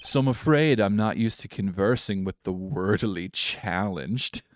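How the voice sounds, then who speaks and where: A man's voice: fairly low pitch, normal speed, a little hiss or hum, natural voice. Man putting on a pompous, mock-posh British CEO. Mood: boastful. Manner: pompous, affected, showy with big words. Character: smug pompous male CEO, slick salesman type. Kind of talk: live-read video game character voice.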